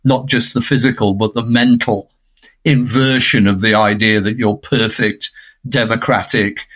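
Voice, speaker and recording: A man's voice: fairly low pitch, steady tone, unhurried, a bit bright. A man speaking as a film scholar in an unscripted podcast discussion, discursive and fond of tag questions.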